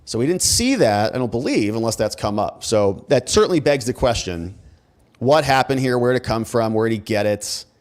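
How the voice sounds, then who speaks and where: A man's voice: medium pitch, lively intonation, normal speed. An American man hosting legal commentary, reading documents aloud with emphatic, conversational asides and sarcastic rhetorical questions. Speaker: host, criminal defense lawyer.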